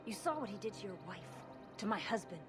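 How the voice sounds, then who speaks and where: An actress playing a grieving widow in a video game, set on justice. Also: female voice, medium pitch, lively intonation, fast talker, over music, natural voice. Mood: indignant. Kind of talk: video game cutscene dialogue.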